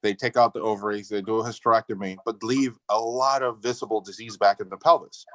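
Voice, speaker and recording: A man's voice: lowish voice, fairly even intonation, quick pace, a bit bright, no room sound. A middle-aged man from the Dominican Republic speaking informally and emphatically as a surgeon in a casual medical discussion. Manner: informal, opinionated, emphatic with slangy asides. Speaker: endometriosis surgeon, guest speaker. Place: video call.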